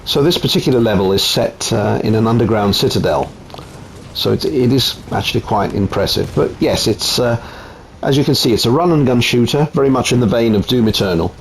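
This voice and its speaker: A man's voice: mid-range voice, a little fast, creaky, a little hiss or hum, rough recording. Italian-accented man reviewing a game. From Italy. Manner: relaxed, chatty, frequent ums. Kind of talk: casual gameplay commentary. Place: home.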